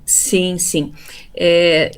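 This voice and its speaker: A woman in her fifties from Brazil, telling her life story in a relaxed interview, pausing often with fillers and repeated words. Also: fairly low pitch, smooth. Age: 50s. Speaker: interviewee, theology graduate. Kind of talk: casual conversational interview.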